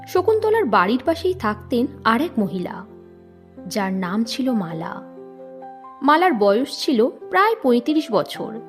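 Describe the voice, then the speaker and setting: A woman storyteller narrates with a lively, animated voice that sits a bit high. Her expressive reading runs over soft background music. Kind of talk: scripted audio-story narration.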